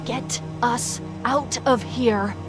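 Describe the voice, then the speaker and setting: A woman playing a sharp-tongued, protective artificial intelligence in a video game, with a biting, sardonic, urgent delivery. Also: fairly high pitch, steady tone, drawn-out words, over music. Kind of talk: video game cutscene acting.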